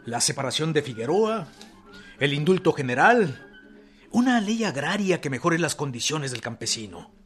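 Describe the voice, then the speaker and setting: An actor playing an earnest government envoy in a radio drama, formal, respectful and insistent, with a Mexican accent. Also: male voice, medium pitch, a bit bright.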